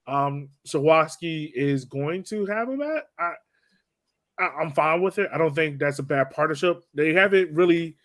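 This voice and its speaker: A man's voice: on the high side, dry recording, clean studio sound. A man co-hosting a casual sports podcast, chatting about a game in a playful, joking way. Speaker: podcast co-host.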